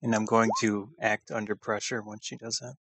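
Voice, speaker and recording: Low-voiced man playing a tabletop game. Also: flat delivery, fairly quick, fairly bright tone, slightly rough, no room sound. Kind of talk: casual game table chat.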